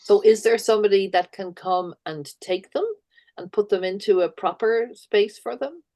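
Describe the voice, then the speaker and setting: A woman hosting a podcast interview, warmly encouraging her guest and asking follow-up questions. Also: medium pitch, normal speed. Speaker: podcast host. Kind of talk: casual interview chat.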